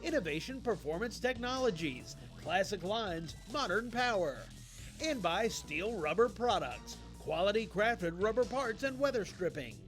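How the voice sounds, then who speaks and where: Animated, high-pitched male announcer. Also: unhurried. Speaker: sponsor announcer. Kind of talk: scripted promotional voice-over. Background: music.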